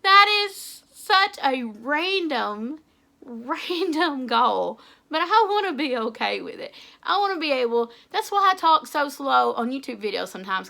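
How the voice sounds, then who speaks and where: Appalachian woman book vlogger, young, bright tense voice with fry, chatty and self-conscious. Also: high voice. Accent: Appalachian English. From Tennessee. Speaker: author and book YouTuber. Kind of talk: casual vlog chat to camera.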